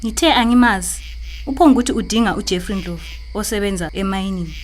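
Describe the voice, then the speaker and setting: A woman's voice: mid-range voice, fast talker, a little hiss or hum, close to an everyday voice. A woman with a Zimbabwean accent playing a married man's suspicious, guarded younger girlfriend in a radio drama. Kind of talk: radio drama acting in Ndebele.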